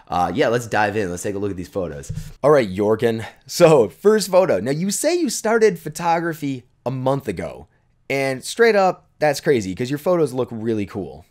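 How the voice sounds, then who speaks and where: Low-voiced man chatting about photos. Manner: relaxed, enthusiastic, lots of fillers and repeats. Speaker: photography YouTuber reviewing viewer photos. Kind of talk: casual chat. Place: bright living room at home. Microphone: desk condenser mic in frame.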